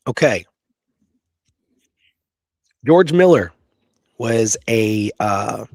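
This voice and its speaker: A man hosts a history podcast in a conversational, emphatic style, working loosely from his notes. His pitch sits in the middle of the male range, and the sound is dry with hardly any room in it. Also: no room sound. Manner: emphatic, conversational, often checking with "right?" and "okay". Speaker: podcast host. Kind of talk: casual history talk from notes.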